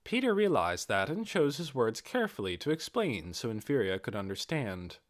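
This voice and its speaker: A man narrating a fantasy novel in an expressive, scripted reading style. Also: mid-range voice, moderate pace. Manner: expressive, performs dialogue in character voices. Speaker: audiobook reader.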